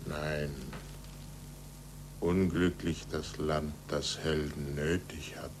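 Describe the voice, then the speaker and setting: Very deep, weary broken scientist. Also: male voice, little pitch movement. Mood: weary, resigned. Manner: quiet, weary, deliberate. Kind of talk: 1940s German radio play acting.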